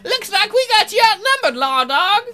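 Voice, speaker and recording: A player acts out a cackling Wild West gang boss in a video game. The voice is pushed high and forceful, swinging widely as he drawls out mocking, theatrical threats. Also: sing-song, normal speed, faint music behind, full character voice. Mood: smug menace. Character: cackling, taunting male Wild West bank robber gang leader.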